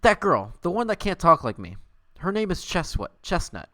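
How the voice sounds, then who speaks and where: A man's voice: high voice, very animated, normal speed, pushed and bright, no room sound, studio quality, voice pushed up, full character voice. A man voicing a wily, flirtatious female talking squirrel in a dramatic fan fiction reading, teasing and suggestive. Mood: earnest, confiding.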